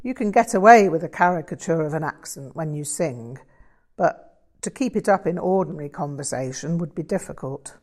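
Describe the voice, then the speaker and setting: A woman's voice: low-pitched, animated, normal speed, a little mellow. Woman acting modest, wry English male musician. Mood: self-deprecating. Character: Vic, self-deprecating English bluesman and painter, wry, thoughtful.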